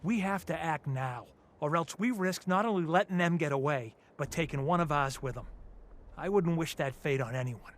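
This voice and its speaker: Man playing a high-pitched, defiant militia fighter. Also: moderate pace, lightly acted. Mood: angry, urgent. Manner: blunt, colloquial, challenging. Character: defiant, combative male militia fighter, blunt and suspicious. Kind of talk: video game voice acting.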